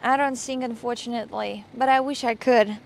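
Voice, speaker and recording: A woman from Russia, streaming casual chat on a street with her phone, excitable and playful. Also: on the high side, fairly quick, creaky.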